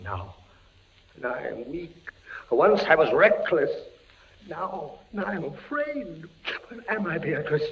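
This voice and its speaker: A young captain condemned to die, his voice swinging from high to hushed in broken, halting phrases. The sound is a muffled vintage radio recording with faint hiss. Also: sing-song, heavily acted. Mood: frightened. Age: young adult. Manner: emotional, broken, halting delivery. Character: young male captain facing execution, defiant then terrified. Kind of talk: 1940s radio acting. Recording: dull old radio broadcast with hiss.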